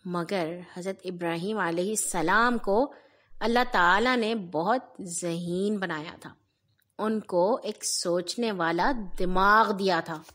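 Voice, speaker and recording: A woman telling moral stories to young children in an expressive, teacherly way, speaking to them directly. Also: medium pitch, takes it slowly. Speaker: storyteller for children.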